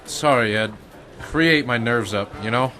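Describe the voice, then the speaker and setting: Man playing a forceful, edgy ex-Marine crook. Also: medium pitch, moderate pace, close to an everyday voice. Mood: uneasy, defensive. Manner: gruff, slangy, defensive. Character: edgy male ex-Marine turned nervous crook, defensive. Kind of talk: detective radio drama acting.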